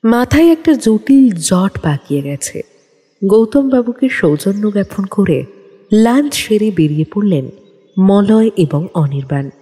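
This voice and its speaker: Husky woman narrator with animated delivery. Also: mid-range voice, measured pace. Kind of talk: dramatic scripted story narration. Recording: long studio reverb, music underneath.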